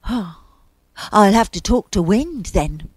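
A woman's voice: mid-range voice, animated, slow pace, breathy edge, no room sound, studio quality. A woman voicing a grumpy male bear in a children's story, with a blunt, emphatic delivery. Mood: thoughtful. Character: grumpy male bear who likes his comforts.

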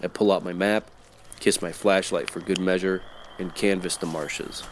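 A man playing a gruff, wry, world-weary supernatural private detective, narrating in old-time noir radio style. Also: sits low, fairly even intonation, moderate pace, very noisy, close to an everyday voice. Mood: wry, brooding. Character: hard-boiled, wisecracking male supernatural private detective.

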